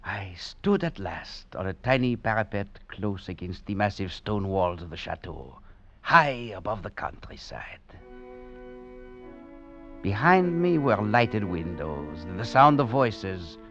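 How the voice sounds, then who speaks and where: An actor playing a roguish adventurer on the run, in a theatrical, grandiloquent old radio drama style. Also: male voice, fairly low pitch, big pitch swings, measured pace, a bit bright, heavily acted. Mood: dramatic narration. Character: roguish, swaggering male thief and adventurer on the run. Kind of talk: 1940s-50s radio drama acting. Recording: muffled old radio recording with music behind.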